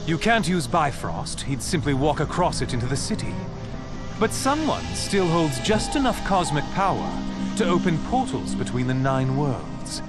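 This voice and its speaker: Sly trickster god for a game: wry, smug, highly expressive, over a musical score. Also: male voice, moderate pace, loud music behind the voice. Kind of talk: video game cutscene acting.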